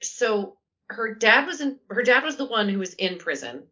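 A woman's voice: very animated, moderate pace, bright, forceful tone, muffled recording. A woman co-hosting a casual podcast, joking and chatty with a commanding voice, telling a history story. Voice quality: commanding, low-sounding voice. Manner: joking, chatty, says "like" often. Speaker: podcast co-host.

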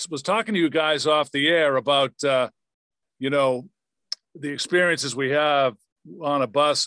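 This is a man hosting a sports interview podcast. His voice sits a little high for a man. The sound is very dry, with no room around it. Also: a bit bright, dry recording. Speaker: podcast host, former radio play-by-play announcer.

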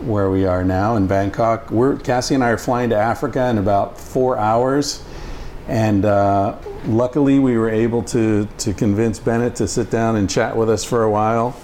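Man, podcast host, low voice, relaxed, many fillers, faint hiss. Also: normal speed. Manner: relaxed, frequent "uh" fillers, short backchannels. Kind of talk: casual interview. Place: indoors in Bangkok.

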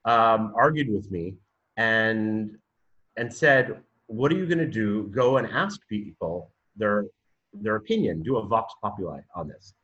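A man's voice: on the low side, animated, measured pace, a bit bright, lo-fi sound. A man from Canada with a Canadian accent, talking as a guest in a podcast interview, hesitant with many fillers and restarts, then telling his story with growing energy. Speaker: guest, university programme director.

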